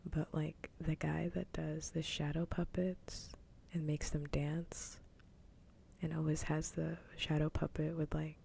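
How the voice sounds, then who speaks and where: A woman livestreamer chatting casually in a soft ASMR style, mostly in a whisper, close to the microphone. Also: little pitch movement, a little slow, vocal fry. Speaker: livestreamer host. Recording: faint background noise, low rumble.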